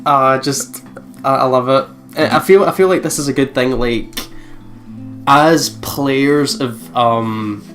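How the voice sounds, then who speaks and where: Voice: medium pitch, slow, a bit bright. A co-host talks casually through the decisions in a visual novel let's play, in a conversational way. Faint guitar music sits behind the voice. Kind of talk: casual banter about game choices.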